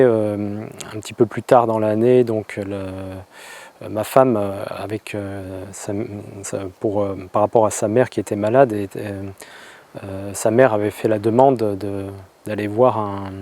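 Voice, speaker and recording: A man from France recounts his own story in a lowish, mellow voice. He talks in a flat, even tone and keeps stopping to restart his sentences. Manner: halting, with many hesitations and restarts. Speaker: convert telling his story. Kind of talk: personal testimony.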